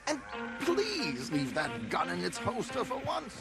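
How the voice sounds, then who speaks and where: An actor playing a flamboyant, smooth-talking con artist in an Old West game, with a pompous, teasing manner and florid wording. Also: male voice, high voice, wildly swooping pitch, measured pace, music in the background, heavily acted. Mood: brisk, instructing. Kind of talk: game cutscene dialogue.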